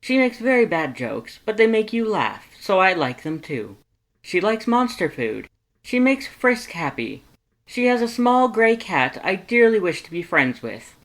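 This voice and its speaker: Big loud excitable skeleton guy, high voice, bellowing, super earnest and sweet, storybook cartoon style. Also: a little slow, rough recording. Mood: earnest, enthusiastic. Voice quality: loud, booming. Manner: emphatic, formal wording, exclamatory. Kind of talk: animated fan fiction character reading.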